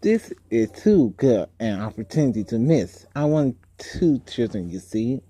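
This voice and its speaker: A man's voice: mid-range voice, expressive, measured pace, dark tone. A man voicing a storybook villain, a sly older uncle who is cunning, wheedling and falsely genial. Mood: eager, sinister. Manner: cunning, wheedling, self-pitying.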